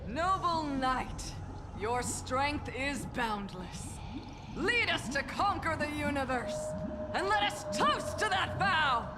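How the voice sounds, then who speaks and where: A woman's voice: high voice, lively intonation, slow, heavy background noise. A woman playing an ardent, devoted female courtier in a video game, exalted and ceremonious in delivery. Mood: rousing. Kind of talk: scripted video game voice acting. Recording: long hall reverb, music behind.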